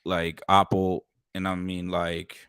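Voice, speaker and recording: Casting: young male podcast host, very low voice, dry sound, upbeat and swears a lot. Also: completely flat delivery, slow pace, clear, resonant tone, no echo. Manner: loud, upbeat, swears freely. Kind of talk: casual chat.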